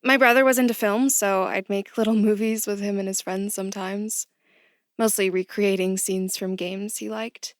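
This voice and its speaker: A woman's voice: medium pitch, steady tone, moderate pace, no echo, clean studio sound, lightly acted. A woman playing a bookish, good-humored new employee in an audio drama, talking in a mild, playful, conversational way. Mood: chatty, reflective. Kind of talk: naturalistic audio drama acting.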